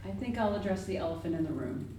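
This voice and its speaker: A woman's voice: fairly low pitch, lively intonation, quick pace, heavy background noise. A woman in the audience asking an earnest, pressing question about community trust. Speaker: audience member. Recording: very echoey hall from far off.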